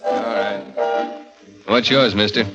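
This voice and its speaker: A man acting a gruff, folksy bartender with blunt, colloquial speech in a 1940s radio drama. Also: fairly low pitch, fairly even intonation, slow. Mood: wary. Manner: blunt, colloquial, drops grammar. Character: gruff, folksy male juke-joint bartender. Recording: dull vintage radio recording with loud music.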